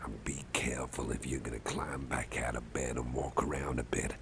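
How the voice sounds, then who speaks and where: A man playing a doting, soft-hearted older boss in an ASMR roleplay, speaking gently and reassuringly in a gravelly, breathy half-whisper. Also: very deep voice, level tone, noisy background. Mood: soothing. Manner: slow, gentle, reassuring, rambling. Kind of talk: ASMR roleplay, softly spoken to the listener.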